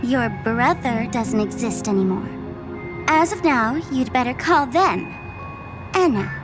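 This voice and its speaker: High-pitched, gleeful trickster fool. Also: female voice, expressive, a little slow. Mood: mocking. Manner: theatrical, mocking, playful, self-congratulating. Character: gleeful, teasing female trickster fool threatening to blow up a planet. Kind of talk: video game character acting. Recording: music behind the voice.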